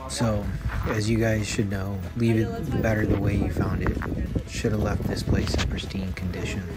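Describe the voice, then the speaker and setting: A man's voice: on the low side, flat delivery, normal speed, loud music behind the voice. An American man in his thirties talking casually as he narrates a travel vlog. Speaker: vlogger.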